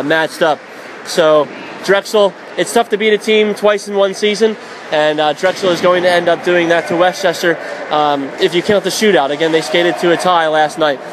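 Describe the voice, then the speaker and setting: A young man giving radio play-by-play of a hockey game from an arena press box, rattling off plays and rising to shouts on goals. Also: high voice, background noise. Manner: rapid excited calls rising to shouts on goals. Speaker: play-by-play announcer.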